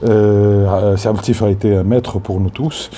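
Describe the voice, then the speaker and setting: A Tunisian man in his fifties, a filmmaker recording a spoken tribute on his phone, halting and full of fillers and restarts. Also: lowish voice, steady tone, mellow, slight background noise. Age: 50s. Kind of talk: spoken tribute to a late mentor.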